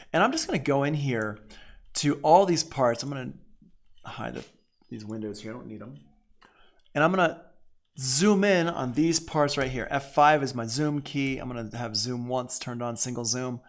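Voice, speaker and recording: An American man giving an informal software tutorial, thinking aloud as an instructor and punctuating steps with short exclamations. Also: mid-range voice. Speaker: audio production instructor.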